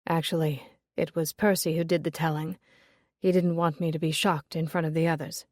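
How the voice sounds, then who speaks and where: Woman reading an earnest lovesick young gentleman, lowered and hushed, mellow and dry, storybook. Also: little pitch movement, moderate pace, dry recording. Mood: hushed pleading.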